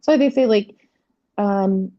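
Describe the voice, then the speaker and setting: A woman's voice: mid-range voice, slow pace, dry recording, dull audio. A woman in her forties from South Carolina, a guest chatting casually and laughing often. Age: 40s. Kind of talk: casual chat.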